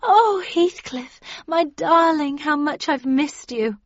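Haughty young Victorian romantic heroine, voiced by a woman reader, passionate, high-pitched, storybook melodrama. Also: fairly even intonation, slow pace, dry recording, highs cut off. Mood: adoring, overjoyed. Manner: disdainful, melodramatic.

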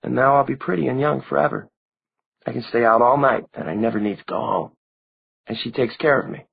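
A man reading an audiobook who voices a small, girlish teenage vampire girl with a soft, breathy, eerily sweet manner. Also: mid-range voice, fairly quick, narrow, tinny audio, voice pushed up. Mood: wistful.

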